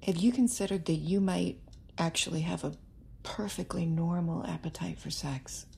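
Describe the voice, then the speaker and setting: An actress in an audio drama playing a calm therapist with a measured, soft-spoken, gently challenging manner. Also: female voice, fairly low pitch, vocal fry, slightly rough, exaggerated voice. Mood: calm, probing. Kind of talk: naturalistic audio drama acting.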